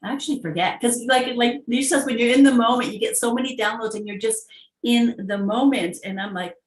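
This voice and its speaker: A woman's voice: a little high, a little fast, slight background noise. A woman panelist chatting casually, recorded with roomy, echoey audio. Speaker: discussion panelist. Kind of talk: casual chat.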